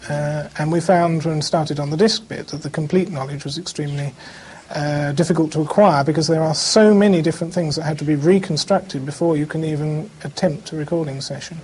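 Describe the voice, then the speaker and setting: A man with a fairly high-set voice talks through past technical work as if in an interview. His phrasing is measured and a little hesitant. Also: steady tone, a little mellow, a little hiss or hum. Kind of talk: interview recollection.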